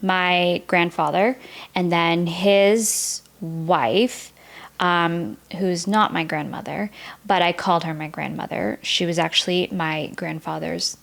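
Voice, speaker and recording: A woman's voice: lowish voice, slow, vocal fry, fairly bright tone. A woman in her early thirties from the United States, a native Vietnamese speaker, speaking openly and reflectively as a podcast guest in casual conversation. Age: early 30s. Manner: open and reflective, casual filler "like". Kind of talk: candid personal storytelling in conversation.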